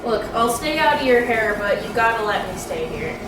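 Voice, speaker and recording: A woman's voice: a little high, sing-song, normal speed, echoey room, music in the background, rough recording. A woman voicing a defiant runaway teenage girl in an audio drama, evasive and stubborn.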